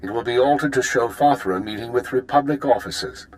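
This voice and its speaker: A man playing a calculating intelligence spymaster in a video game, speaking in a measured, formal, authoritative way. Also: medium pitch, natural voice, low-quality recording. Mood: commanding. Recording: music under the voice.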